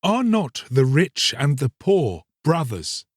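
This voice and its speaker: Man voicing an earnest young king, lively pitch. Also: slow pace, husky, no echo, studio quality, exaggerated voice. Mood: solemn, firm. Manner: resolute, archaic, formal speech. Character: earnest, solemn young king in humble dress.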